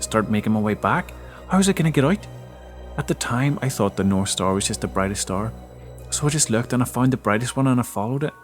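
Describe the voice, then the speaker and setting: A man giving a dramatic first-person narration of a scary story, with halting, emotive delivery. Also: on the low side. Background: background music.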